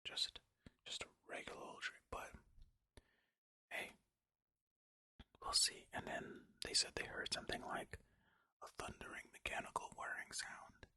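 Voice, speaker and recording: An ASMR host speaking in a breathy, unvoiced whisper close to the microphone, reading and musing aloud in conversational asides. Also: a little slow, long pauses, sharp tone, no echo. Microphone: close ear-to-ear stereo microphone.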